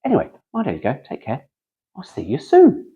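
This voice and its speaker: A man's voice: high-pitched, huge pitch swings, brisk, gravelly, warm tone. A man reading aloud casually as a host, breaking off for chatty, wry, digressive asides.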